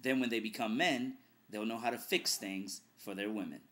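A man's voice: fairly low pitch, moderate pace, a bit bright. A middle-aged man, a radio host, giving earnest advice straight to a webcam in his living room. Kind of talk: direct-to-camera video blog.

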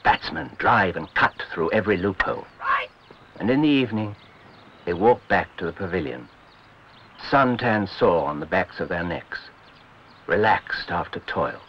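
A man giving poetic scripted narration in a measured, literary delivery. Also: sits low, lively intonation, fairly bright tone, slight background noise. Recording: muffled old film soundtrack with music. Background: music.

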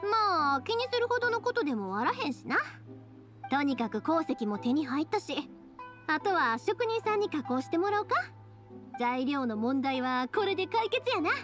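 Anime game role: kindhearted, expressive young Kansai woman, high animated voice, music behind. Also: moderate pace. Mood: chatty. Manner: bubbly, familiar, expressive. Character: cheerful, kindhearted, chatty young woman fireworks maker.

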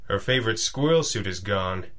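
An actor playing a thoughtful masked pulp detective hero in a radio drama, musing aloud deliberately. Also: male voice, lowish voice, lively intonation, a bit bright. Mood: puzzled musing.

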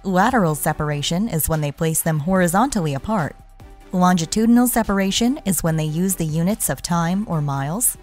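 A woman reading scripted explainer narration in a measured, instructional way. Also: fairly low pitch, a little mellow. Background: background music.

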